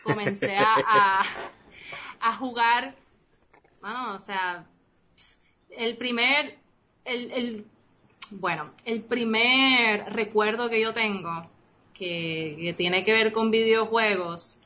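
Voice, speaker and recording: Guest: bright-toned woman in her thirties, Puerto Rican Spanish with Costa Rican traces, rambling and chatty, slightly dull lo-fi sound. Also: fairly high pitch, takes it slowly, long pauses, slight background noise. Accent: Puerto Rican Spanish with some Costa Rican traces. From Costa Rica. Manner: rambling, many restarts and fillers, mixes in English. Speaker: interview guest, game designer. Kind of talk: casual interview chat.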